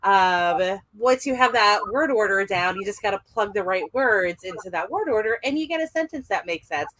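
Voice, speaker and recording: A woman's voice: medium pitch, lively intonation, moderate pace, fairly bright tone. A woman chatting casually on a podcast, with lots of "like" fillers. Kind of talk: casual chat.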